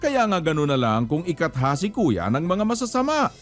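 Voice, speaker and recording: Male preacher, lively melodic delivery, admonishing and emphatic, music underneath. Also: a little high, moderate pace. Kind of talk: religious exhortation with rhetorical questions and scripture quotes.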